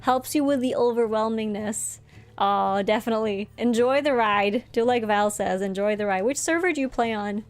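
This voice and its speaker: A woman's voice: fairly high pitch, normal speed. A young woman whose first language is Norwegian, chatting casually with viewers while she streams a game. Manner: chatty and friendly, laughs often. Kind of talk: casual live-stream chat, reading chat aloud.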